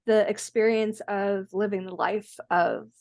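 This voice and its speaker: A woman hosts a casual podcast interview and asks questions with many small fillers. Her voice sits at a middle pitch. The recording is dry, with no room sound. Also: steady tone, measured pace, gravelly, a little mellow, dry recording. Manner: friendly, filler-heavy. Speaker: podcast host.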